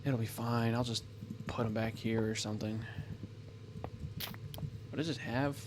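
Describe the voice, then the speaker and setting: A man's voice: lowish voice, fairly even intonation, measured pace, noisy background. A man giving casual gameplay commentary, thinking aloud as he goes, often restarting and correcting himself. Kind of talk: casual let's-play commentary.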